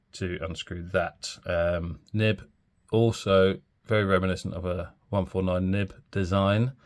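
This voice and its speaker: A very low-voiced man talks casually through a fountain pen review, pausing often with ums and correcting himself as he goes. He has a cold, so he sounds a bit throaty and bunged up. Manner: chatty and rambling, with many ums and self-corrections.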